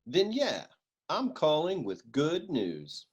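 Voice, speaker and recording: A hardboiled private eye from a comic radio serial, acted by a man, slinging slang with a straight face. The voice is distinctly husky and swings widely in pitch, with the cocky patter of a noir wisecracker. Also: slow, heavily acted. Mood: breezy. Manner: deadpan, slangy tough-guy delivery.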